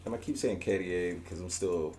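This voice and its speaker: A man with a deep, low-pitched voice co-hosts a reaction channel. He talks casually and slangily, joking and swearing freely. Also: fast talker, darkish tone. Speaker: YouTube co-host.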